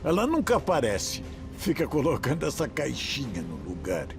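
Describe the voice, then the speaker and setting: Man playing a grumbling, self-important church boss, swooping pitch. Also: huge pitch swings, moderate pace, creaky, music in the background. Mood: indignant, sarcastic. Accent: Brazilian. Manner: indignant, sarcastic. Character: grumbling, self-important male church leader in secret cabal. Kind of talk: audio drama acting.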